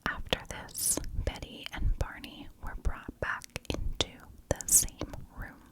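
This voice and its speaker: A woman narrating a story as an ASMR host, whispering in short, deliberate phrases. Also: rough recording.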